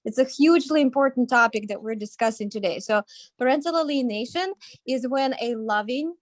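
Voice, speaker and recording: A woman's voice: on the high side, no room sound. A woman from Ukraine with a Ukrainian accent, speaking earnestly as a guest advocate in a prepared statement.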